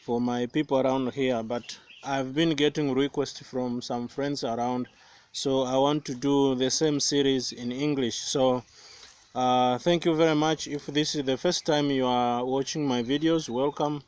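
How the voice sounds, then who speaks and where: A young Tanzanian man whose first language is Swahili, giving a slow, careful guitar lesson outdoors with many pauses and fillers. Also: medium pitch, fairly even intonation, darkish tone, clear, resonant tone, highs cut off. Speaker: guitar teacher. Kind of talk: guitar tutorial. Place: outdoors by banana plants. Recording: faint guitar playing behind voice.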